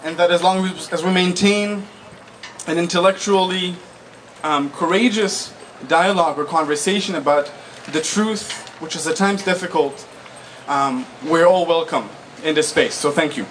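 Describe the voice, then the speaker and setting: A man in his twenties from Israel giving a public speech as a guest speaker, deliberate and articulate, phrase by phrase. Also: a little high, fairly bright tone. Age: 20s. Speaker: guest speaker, political activist. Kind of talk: public speech, personal testimony. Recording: noisy live event recording.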